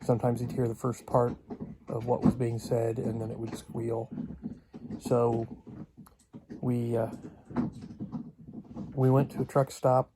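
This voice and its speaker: A man talking casually and unscripted for a vlog, in a halting, hesitant way with long pauses. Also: mid-range voice, little pitch movement, background noise. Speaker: vlogger.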